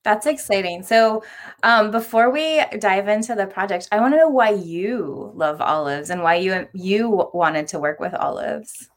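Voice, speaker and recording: A woman's voice: mid-range voice, expressive, a little slow. A woman speaking as the host of a community radio show, introducing segments and interviewing guests.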